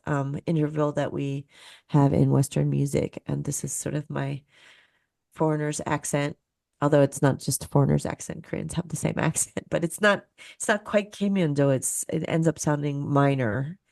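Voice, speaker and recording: A woman's voice: deep voice, fairly even intonation, moderate pace, creaky, darkish tone, dry recording, studio quality. A woman speaking as a guest musicologist on a video call, explaining thoughtfully and searching for words as she goes.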